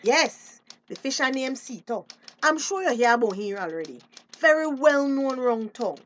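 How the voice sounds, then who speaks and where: A woman's voice: sits high, animated, normal speed, a bit bright, slight background noise. A woman acting an outspoken, street-smart fisheries officer in a radio drama, telling things expressively in a Belizean Creole accent. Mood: animated, confiding.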